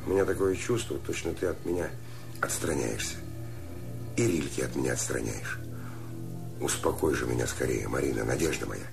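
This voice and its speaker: Wounded lover guy, very low gravelly voice, brooding radio drama letter. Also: normal speed, long pauses, music in the background. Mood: hurt, pleading. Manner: brooding, pleading. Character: hurt, pleading man writing to beloved woman. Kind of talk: poetic radio play monologue.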